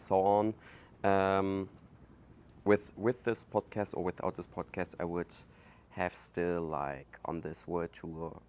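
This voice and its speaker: A German-accented man speaks slowly in a low, deep voice, pausing often and filling gaps with "um" and "like" as he thinks aloud. He hosts his own podcast, recorded outdoors with faint outdoor noise behind him. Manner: halting, thinking aloud with many fillers. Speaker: podcast host. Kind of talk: casual solo podcast monologue. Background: outdoor ambience.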